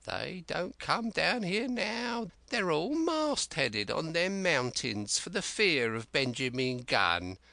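A man reading an audiobook and voicing a rambling, excitable old castaway who speaks in rustic dialect. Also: on the high side, slow pace, gravelly, few pauses, no echo, voice pushed up, full character voice. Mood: eager. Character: wild-eyed old male castaway, rambling and eager.

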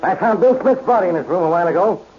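An actor playing a blustery, impatient, accusing police inspector in a 1940s radio drama. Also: male voice, high voice, animated, very fast. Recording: noisy, muffled old radio recording.

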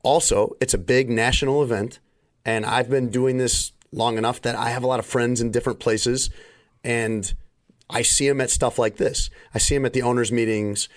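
A man's voice: medium pitch, steady tone, normal speed. A middle-aged man hosting a podcast in casual chat, rambling through stories with plenty of fillers, his voice still recovering and a little limited in range. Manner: rambling storyteller, frequent fillers. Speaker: podcast host.

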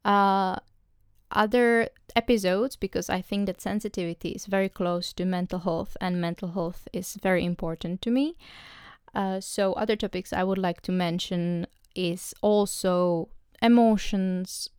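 A young woman from the Czech Republic with a Czech accent, hosting her own podcast, speaking English as a second language with many pauses and restarts. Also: medium pitch, fairly even intonation, clear, resonant tone, no room sound, studio quality. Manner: hesitant, many ums and restarts. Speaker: podcast host. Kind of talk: solo podcast monologue.

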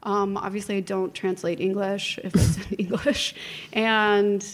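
A woman's voice: mid-range voice, fairly even intonation, a little slow. A young adult woman, an opera singer, chatting as a podcast guest, candid and laughing often. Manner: laughing, candid, self-mocking. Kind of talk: casual chat.

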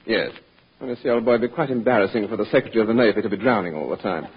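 A man playing an affable, silly comic sidekick with a mock-gentlemanly manner in old radio comedy. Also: fairly low pitch, very fast, natural voice. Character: genial, silly male sidekick with absurd excuses. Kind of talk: 1940s radio comedy acting. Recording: muffled, noisy old radio recording.

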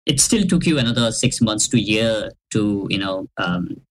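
This is a podcast host asking an interview guest long, winding questions that are padded with "uh" and "you know". The voice sits at a middling pitch. A faint hiss and a low rumble sit under the recording. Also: lively intonation, normal speed, low-quality recording.

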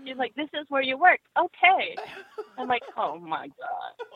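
A woman's voice: high voice, measured pace. A woman wrestler telling stories in a casual interview, laughing often. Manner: laughing, animated storyteller. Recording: tinny phone line.

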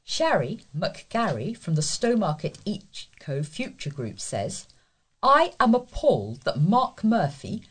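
A woman's voice: on the low side, sing-song, slow, husky, rough recording. A woman reading newspaper letters aloud as a volunteer news reader.

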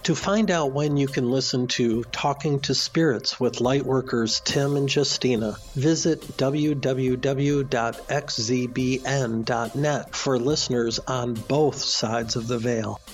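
A man's voice: mid-range voice, steady tone, unhurried, few pauses, soft background music. A man, a radio co-host, reading a scripted promotional announcement for his show.

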